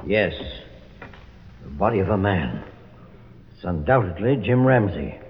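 An actor playing a shrewd, formal American investigator, probing and commanding, in an old-time radio drama. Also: male voice, low-pitched, sing-song, measured pace, a little hiss or hum. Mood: shrewd, pressing. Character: shrewd, authoritative male private investigator, calmly probing. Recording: dull 1940s-50s radio recording.

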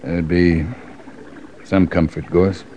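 Stern, low-voiced cavalry captain, old radio western. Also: male voice, level tone, slow, dark tone. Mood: grim, subdued. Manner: terse, hard-bitten. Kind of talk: 1940s-50s radio western acting. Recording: dull, noisy old radio recording.

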